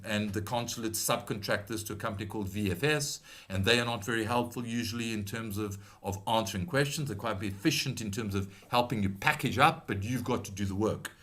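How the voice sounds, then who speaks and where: A man's voice: lowish voice, fairly bright tone. British man with South African tinge. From Britain. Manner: measured, advisory, with frequent "um" and restarts. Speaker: webinar presenter. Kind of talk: explanatory webinar talk.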